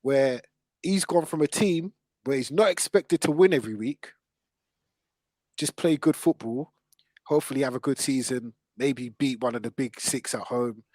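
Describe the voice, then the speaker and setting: A man's voice: mid-range voice, normal speed, a little mellow, dry recording, low-quality recording. A man with a London accent debating football casually and emphatically, repeating phrases to drive his points home. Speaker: football podcast host.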